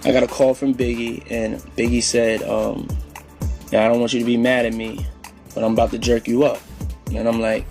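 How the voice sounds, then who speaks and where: Halting man in a flat, confiding tone. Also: mid-range voice, gravelly, faint music behind. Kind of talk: informal confessional talk.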